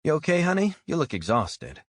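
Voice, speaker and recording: A man's voice: fairly high pitch, sing-song, brisk, dry recording, voice pushed up. A man voicing a friendly diner waitress in a lifted, chatty voice.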